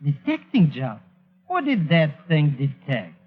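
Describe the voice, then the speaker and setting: An actor playing an excitable, bumbling foreign sidekick who garbles his idioms, in 1940s radio drama style. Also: fairly high pitch, a little slow. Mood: puzzled. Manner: garbles idioms and grammar, exclaims and questions. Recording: muffled vintage radio, phone-like band, music.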